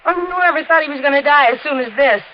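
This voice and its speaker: An actress playing a brassy, flirtatious, callous widow of about thirty in a 1950s radio drama, with a slangy, blunt, offhand manner. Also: female voice, high-pitched, fast, lightly acted. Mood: defensive, brazen. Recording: muffled vintage radio recording, background hiss.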